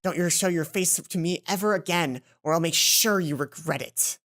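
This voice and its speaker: A woman voicing a sweet high-school girl who turns clingy and snappish in a visual novel. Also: moderate pace, a bit bright, natural voice. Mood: angry, defensive.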